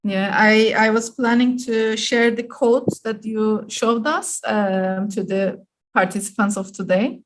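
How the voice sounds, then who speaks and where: A woman's voice: fairly high pitch, steady tone, unhurried. Turkish-accented woman hosting a webinar. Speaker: webinar host and moderator. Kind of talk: hosting an online webinar, semi-formal.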